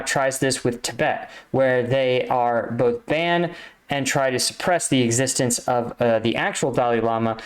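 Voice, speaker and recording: A man with a mid-pitched voice talks through his analysis as a video host. He speaks off the cuff in an explanatory way, pausing to find the right word and checking in as he goes. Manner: analytical, halting, searches for words, checks in with "right?". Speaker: reaction video host, army combat veteran.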